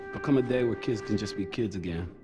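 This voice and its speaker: A man's voice: fairly low pitch, fast, over loud music, lightly acted. An actor playing a serious, protective young man surviving in a video game drama. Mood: thoughtful.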